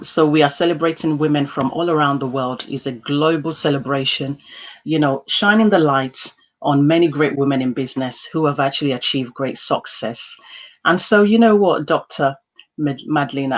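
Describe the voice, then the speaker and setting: A woman hosting a live interview podcast over a video call, talking in an upbeat way with many fillers. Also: low voice. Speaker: podcast host. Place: indoor room.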